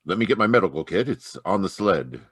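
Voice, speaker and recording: A steady, heroic Mountie sergeant from an old radio adventure, acted by a man. His low voice moves with lots of expression, commanding and reassuring, in a dry close recording. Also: fairly quick, husky, dry recording, full character voice. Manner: authoritative, reassuring. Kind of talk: old-time radio drama acting. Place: remote online performance from a close mic.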